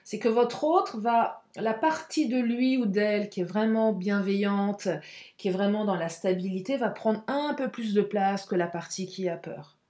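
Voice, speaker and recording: Stuffy-nosed, hoarse woman reading tarot cards. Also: mid-range voice, mellow, roomy sound. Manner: speaks through the nose, halting with many fillers. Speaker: tarot card reader.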